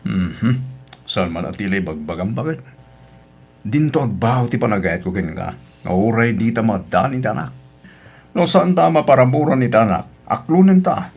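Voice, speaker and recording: A man with an Ilocano accent playing a supportive cousin and devoted husband in a radio drama, animated and earnest. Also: lowish voice, moderate pace, breathy edge.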